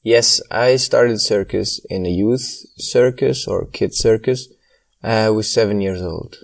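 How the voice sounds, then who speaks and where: Man, hesitant, low-voiced. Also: takes it slowly, vocal fry. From Switzerland. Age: 30s. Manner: hesitant, many ums, slightly non-native phrasing. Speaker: guest, circus acrobat. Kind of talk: casual interview conversation.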